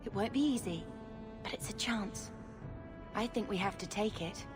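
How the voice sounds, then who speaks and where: A woman's voice: medium pitch, moderate pace, natural voice. An actress playing an earnest, practical young woman in a video game. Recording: game audio with loud music.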